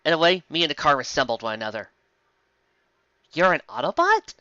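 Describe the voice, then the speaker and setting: Bright, theatrical comedy host, slightly lo-fi. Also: medium pitch, huge pitch swings, brisk, no echo. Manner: theatrical, exclamatory, sarcastic asides. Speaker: host of a fanfic reading show. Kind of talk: comedic dramatic reading with mocking commentary.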